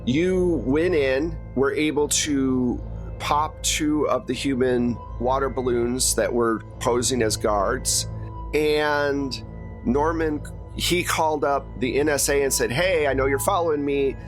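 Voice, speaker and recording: A man's voice: mid-range voice, takes it slowly, faint music behind. A man running a tabletop game as game master, recapping and narrating in an informal, explanatory way.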